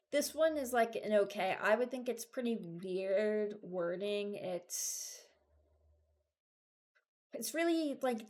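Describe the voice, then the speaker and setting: A woman's voice: a little high, a little mellow. A woman giving casual reaction commentary as a video host, rambling and thinking aloud as she ranks game voice lines.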